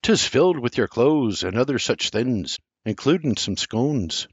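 A man voicing a steady, kindly pipe-smoking farm father with low, slow dialect speech. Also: husky, no echo. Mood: plain. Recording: dull lo-fi dry recording.

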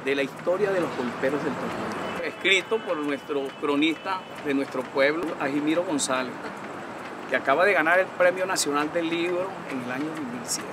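A man's voice: a little high, moderate pace, noisy background. An older Venezuelan man from a folk music group, speaking formally outdoors. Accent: Venezuelan, from Lara. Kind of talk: formal speech.

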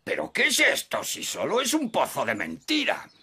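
A woman's voice: a little high, wildly swooping pitch, normal speed, over music. A woman voicing a fussy, proud old grandpa pig in a dubbed cartoon, grumbling and stubborn. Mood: indignant. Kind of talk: cartoon dubbing.

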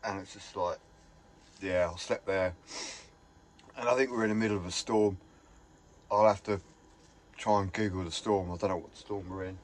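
A man chatting casually to camera from inside his camper van, rambling and enthusiastic, his voice a little hoarse. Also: low-pitched, steady tone, normal speed, long pauses. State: slightly hoarse. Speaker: vlogger. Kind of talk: casual vlog chat.

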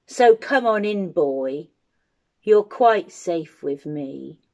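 A woman voicing a very old, toothless giant in a storybook, gruff and grumbling, with booming, rustic, ungrammatical speech. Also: lively intonation, drawn-out words, warm tone, lo-fi sound, exaggerated voice. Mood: greedy, gloating.

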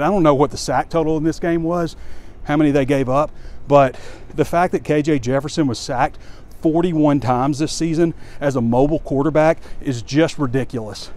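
Higher-pitched man in his forties, walking outdoors. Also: darkish tone. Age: 40s. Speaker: sports reporter and publisher. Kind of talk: walk-and-talk commentary to camera. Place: outdoors, walking around a stadium at night. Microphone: phone at arm's length. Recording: outdoor handheld recording with ambient noise.

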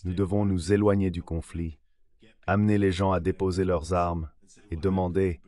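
A man's voice: sits low, little pitch movement, normal speed, dark tone. A synthetic male voice delivering a translated voice-over of an interview guest's answers.

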